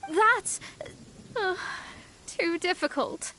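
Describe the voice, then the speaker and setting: A proud young female astrologer in a video game. The voice is strikingly high and theatrical, haughty yet quick to stammer when flustered, over faint noise. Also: pushed and bright, full character voice. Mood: dejected, flustered. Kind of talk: video game character acting.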